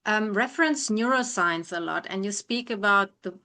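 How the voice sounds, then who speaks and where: A woman's voice: medium pitch, moderate pace, pushed and bright. A woman interviewing a guest, putting questions in a conversational style.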